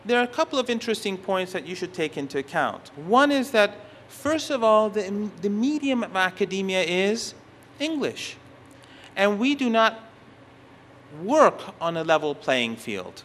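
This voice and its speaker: A middle-aged man whose first language is Persian, giving an academic conference talk, halting often and restarting his phrases. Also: high voice, sing-song, a bit bright, a little hiss or hum. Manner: halting, restarts phrases, stresses key words. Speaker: conference speaker. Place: university conference hall.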